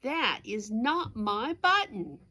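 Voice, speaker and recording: A woman's voice: a little high, very animated, slow pace, bright, forceful tone, no room sound, heavily acted. A woman voicing a grumpy, fussing male toad from a children's storybook, complaining emphatically. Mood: exasperated.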